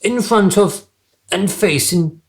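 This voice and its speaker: A man's voice: high-pitched, takes it slowly, creaky, full character voice. An actor playing a childish, vain and callous king in a comic radio play, pompous and petulant. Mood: smug. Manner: pompous, petulant, theatrically posh phrasing.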